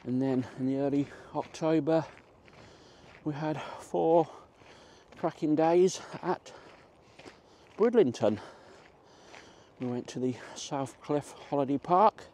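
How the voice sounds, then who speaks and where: A man's voice: medium pitch, mellow. A middle-aged man, chatting casually as a vlogger while walking outdoors. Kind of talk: casual walk-and-talk chat. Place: outdoors in a country park. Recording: faint outdoor ambient noise.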